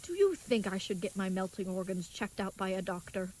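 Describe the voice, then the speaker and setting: A woman's voice: medium pitch, sing-song, fairly quick, noisy background, natural voice. A woman playing an earnest, naive, chivalrous young knight in an audio comedy, speaking with stilted, wordy politeness. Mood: earnest, musing. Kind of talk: scripted audio comedy acting.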